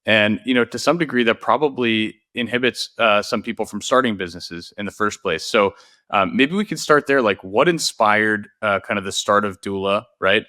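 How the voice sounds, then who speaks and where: A man hosts a podcast interview, upbeat and friendly, leading into his questions with the odd filler. His voice is fairly low but bright and forward. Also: normal speed. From Newton, Massachusetts. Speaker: podcast host.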